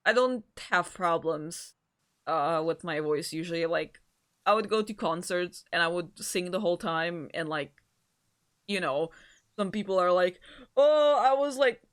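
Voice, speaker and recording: A woman with a deep voice who streams, reading a visual novel aloud and chatting with her viewers in a giggly, rambling way. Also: unhurried. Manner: giggly and rambling in chat, even-paced when narrating. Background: faint game music.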